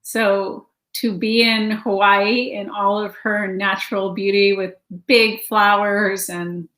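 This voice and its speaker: A Canadian woman hosting a casual interview, chatty and letting her sentences trail off softly. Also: mid-range voice, level tone, slow, echoey room. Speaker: podcast host.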